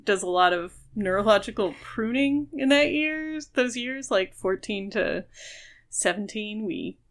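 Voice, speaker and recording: A woman's voice: mid-range voice, expressive, measured pace. A woman co-hosting a podcast, chatting casually and emphatically about a book, with informal phrasing and lots of "like". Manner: informal, emphatic, peppered with "like" and mild swearing. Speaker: podcast co-host. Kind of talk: casual book discussion chat.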